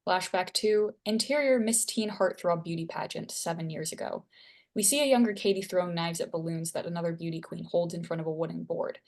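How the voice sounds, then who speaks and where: A woman with a slightly low voice narrates a screenplay. She reads out scene headings and action as the stage directions reader at a table read. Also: brisk, darkish tone.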